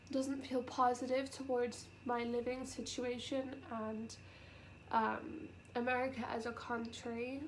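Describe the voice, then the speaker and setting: A young woman with a cold, sniffly and nasal, talking softly and haltingly in a personal vlog, close to tears. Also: high-pitched, slight background noise, rough recording. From United Kingdom. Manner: halting and soft-spoken, with many pauses and fillers. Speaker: vlogger. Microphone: camera.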